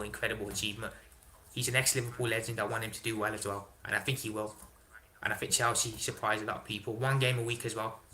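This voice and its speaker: A young man hosting a casual live stream, reading chat aloud and answering in an excitable way, swearing and dropping slang. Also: lowish voice, low-quality recording. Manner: excitable, swears, London slang like innit and big up. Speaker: livestream host reading chat.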